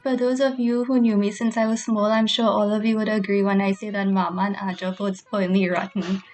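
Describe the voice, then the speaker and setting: A woman's voice: mid-range voice, flat delivery, a little fast, darkish tone, faint music behind. A woman speaking in a personal farewell tribute as a grandchild remembering a grandmother.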